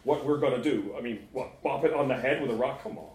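A man's voice: mid-range voice, fast, a little mellow, close to an everyday voice. A man voicing a shocked, argumentative young man in a short story, exclaiming and tripping over his words. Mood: incredulous. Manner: stammering, exclaiming. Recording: roomy, echoing sound.

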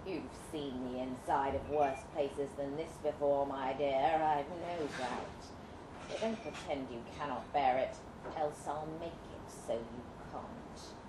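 A woman's voice: extremely low pitch, slow pace, voice pushed down. A woman voicing a coolly cruel, mocking male villain with formal old-fashioned diction in a melodramatic story reading. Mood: mocking threat. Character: suave, menacing Victorian villain lord, coolly cruel male captor. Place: indoor live literary event. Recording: roomy, noisy live venue recording.

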